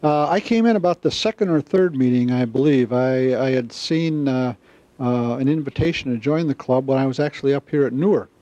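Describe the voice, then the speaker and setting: An American man in his seventies from the Midwest, recalling things in an interview in a halting, measured way. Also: medium pitch, warm tone, no echo. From Wisconsin, US Midwest. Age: 70s. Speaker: club member, newsletter editor. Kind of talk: interview recollection. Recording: slightly dull, dry interview sound.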